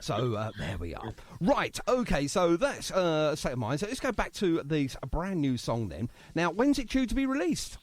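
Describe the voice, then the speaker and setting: A man's voice: fairly high pitch, huge pitch swings, moderate pace, few pauses, no echo. A British man hosting a casual radio interview, chatty and jokey, often exclaiming. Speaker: radio show host.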